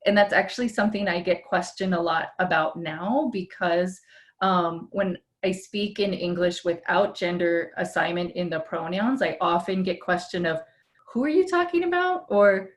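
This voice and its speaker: Low-voiced woman moderating a webinar. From Hawaii. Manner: measured, with frequent "um" pauses. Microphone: video call.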